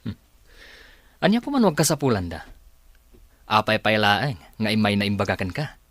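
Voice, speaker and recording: A man with an Ilocano accent playing a stern, grudging, domineering husband in a radio comedy drama, gruff and dismissive. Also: on the low side, sing-song, normal speed, a bit bright, dry recording, clean studio sound.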